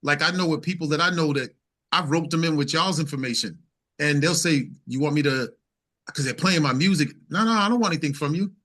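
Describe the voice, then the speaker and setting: A man's voice: a little high, fairly quick, a bit bright. A man speaking as a singer-songwriter guest in a casual interview, emphatic and repeating phrases as he builds his points.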